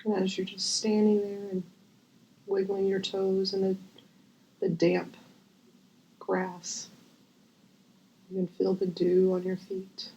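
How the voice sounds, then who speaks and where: A woman guiding a visualization as host, gentle and unhurried with long pauses, her voice breathy and often near a whisper. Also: medium pitch, monotone, muffled recording. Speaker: guided meditation host. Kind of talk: guided visualization, meditation.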